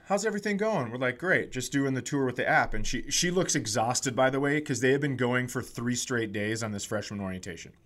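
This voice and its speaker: A middle-aged American man with a mid-pitched voice tells comic stories in a loose, conversational way. He acts out other people's lines as a podcast co-host would. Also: fairly bright tone. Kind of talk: casual comic storytelling.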